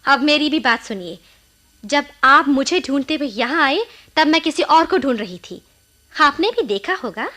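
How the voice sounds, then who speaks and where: A woman's voice: sits high, lively intonation, normal speed, bright, forceful tone. A woman acting an anxious, defiant, wounded young village wife in a Hindi radio play, with dramatic, pointed delivery. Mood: bitter, defiant. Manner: dramatic, pointed, emotionally charged.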